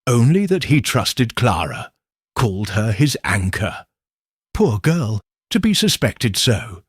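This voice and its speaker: A man plays a village vicar in a storybook mystery, sorrowful and confiding. He speaks slowly, in a somewhat husky voice. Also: medium pitch, big pitch swings, dry recording, studio quality, heavily acted. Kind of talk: audiobook character reading.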